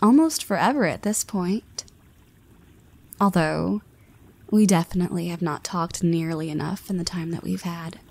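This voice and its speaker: A woman's voice: on the low side, moderate pace, creaky, a little mellow, slightly rough. A woman voicing a confident young woman who teases her friend, with playful half-whispered asides. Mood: teasing. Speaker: voice actress. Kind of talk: scripted audio roleplay.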